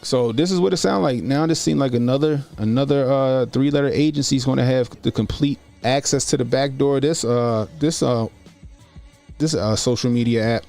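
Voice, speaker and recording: Gravelly forty-year-old man. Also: mid-range voice, moderate pace, darkish tone, soft background music. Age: 40s. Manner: informal, repeats phrases for emphasis. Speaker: YouTube commentary host. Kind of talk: casual livestream commentary.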